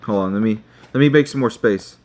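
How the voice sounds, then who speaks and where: A man giving a screen-share tutorial, talking slowly and checking in with his viewers. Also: lowish voice, darkish tone. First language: not Hebrew. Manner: slow, checking in with "okay?". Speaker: online teacher.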